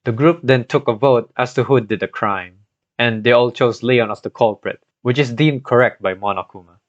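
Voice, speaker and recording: A man's voice: medium pitch, normal speed, no room sound. A man narrating a scripted video retelling of a game story, adding his own commentary as a gaming content creator. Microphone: cheap home microphone, voice-over. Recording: dull lo-fi scuffed home microphone.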